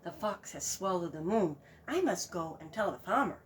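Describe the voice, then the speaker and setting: A woman voicing a frantic young female goose in a read-aloud storybook, high and pleading. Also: lively intonation, moderate pace, a little hiss or hum, lightly acted. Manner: shrill squawking and pleading.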